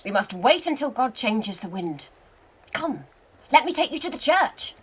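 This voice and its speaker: A woman in a radio play acting a wry, seasoned male French army commander, with a measured, commanding theatrical delivery. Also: on the high side, very animated, breathy edge, exaggerated voice. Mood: patient, firm.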